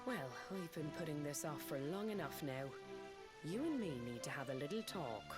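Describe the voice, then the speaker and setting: A woman's voice: sits low, very animated, normal speed. A woman playing a fond, fussing middle-aged village mother with a British accent in a video game, in a folksy, plain-spoken way. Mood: hesitant, earnest. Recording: game dialogue over background music.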